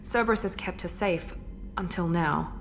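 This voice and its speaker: An actress playing a proud, guarded female operative in a video game, crisp, formal and controlled. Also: on the low side, normal speed, faint music behind, low-quality recording. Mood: guarded, serious. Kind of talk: video game character acting. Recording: game audio with faint ship ambience.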